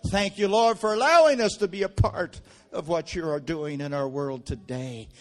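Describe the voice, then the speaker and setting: A man's voice: fairly high pitch, normal speed. Preaching man in a church. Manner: builds from quiet storytelling to loud fervent prayer. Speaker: guest preacher. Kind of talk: sermon.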